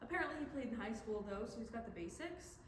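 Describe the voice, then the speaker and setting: A woman acting an anxious, earnest young student researcher in a staged play reading, speaking haltingly. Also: a little high, lively intonation, natural voice. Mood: hesitant, nervous. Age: young adult. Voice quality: hesitant, stammering delivery. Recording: echoey room, faint noise.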